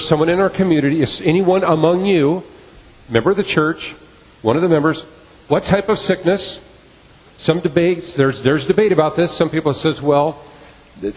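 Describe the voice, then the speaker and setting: A man's voice: fairly high pitch, husky. A middle-aged man preaching a sermon as pastor, earnest and repeating key phrases for emphasis. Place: church sanctuary. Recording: long reverb of a church hall.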